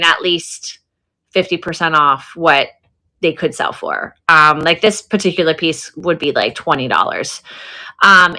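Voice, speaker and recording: A woman's voice: on the low side, sharp tone. A woman hosting a live online sale in casual chat, effusive and chatty, often repeating words for emphasis. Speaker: host of an online selling livestream.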